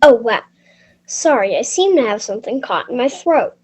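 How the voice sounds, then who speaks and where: A woman's voice: fairly high pitch, animated, moderate pace, noisy background, natural voice. A woman playing a theatrical, easily shocked family member in a readers theater fairy tale, exclamatory. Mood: defensive.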